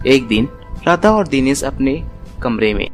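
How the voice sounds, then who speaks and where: Mid-pitched male story narrator. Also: very animated, unhurried, faint music behind.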